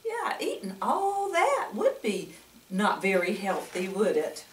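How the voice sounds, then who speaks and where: An older woman reads a picture book aloud to children in a grandmotherly way, pausing for chatty asides and coaxing questions. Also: mid-range voice, wildly swooping pitch. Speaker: storyteller reading to children. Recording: echoey room, distant mic.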